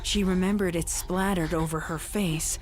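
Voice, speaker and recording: Female storyteller, lower register, scripted dramatic horror narration, music bed underneath. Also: fairly even intonation, moderate pace. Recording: clear music behind the voice.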